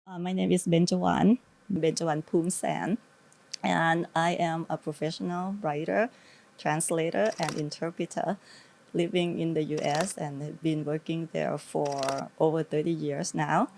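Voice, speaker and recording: Middle aged thai lady w lao as first language, low voice, chatting in an interview, thai accent. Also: steady tone, measured pace, vocal fry, darkish tone, a little hiss or hum. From northeast Thailand. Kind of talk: conversational interview answers.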